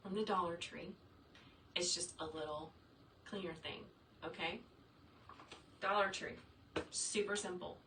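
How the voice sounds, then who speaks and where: A woman's voice: fairly low pitch, a little slow, long pauses, bright, forceful tone. A woman chatting upbeat and casual as she teaches wreath making in her home workshop, talking straight to viewers and answering their comments. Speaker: wreath maker teaching a craft. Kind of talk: casual livestream tutorial reading viewer comments. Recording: roomy, distant sound with faint room noise.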